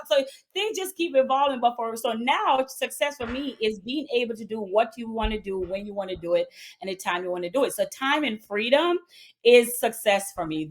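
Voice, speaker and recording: Jamaican-accented woman telling her story. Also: fairly high pitch. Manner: animated storytelling with bursts of laughter. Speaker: guest entrepreneur and speaker. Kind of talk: casual interview talk.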